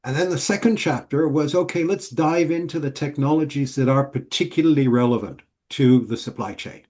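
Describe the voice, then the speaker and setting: A man's voice: on the high side, darkish tone. Middle-aged Swiss-born man explaining. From Switzerland. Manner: measured, explanatory, listing points. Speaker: guest, author. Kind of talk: casual interview.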